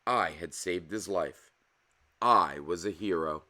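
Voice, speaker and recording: A man reading a children's picture book aloud as a storyteller, with animated, emphatic delivery. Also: sits low, slow. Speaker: read-aloud storyteller and host.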